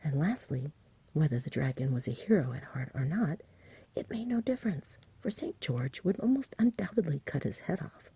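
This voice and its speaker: A woman reading a story in a soft, breathy ASMR whisper, close to the microphone. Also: low-pitched, animated, normal speed, no echo.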